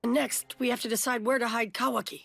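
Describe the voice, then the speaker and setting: A woman's voice: fairly high pitch, steady tone, fairly quick, creaky, a bit bright. A woman voicing a brash, determined male ninja leader in an anime dub. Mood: resolute.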